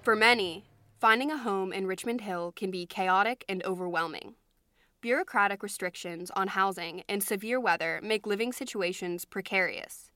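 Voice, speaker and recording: A woman podcast host reading scripted narration, introducing each segment clearly. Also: medium pitch, moderate pace, sharp tone, no room sound.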